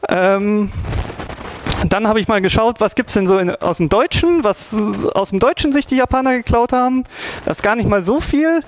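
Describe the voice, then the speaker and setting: A man's voice: high-pitched, moderate pace, slight background noise. A young man casually explaining Japanese writing, rambling with lots of fillers and restarts. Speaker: presenter explaining Japanese scripts.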